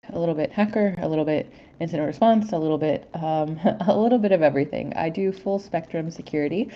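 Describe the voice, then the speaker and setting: A woman's voice: deep voice, warm tone, highs cut off, a little hiss or hum. A woman with a stuffy cold introducing herself as a security advisor in an upbeat, articulate way. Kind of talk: guest self-introduction.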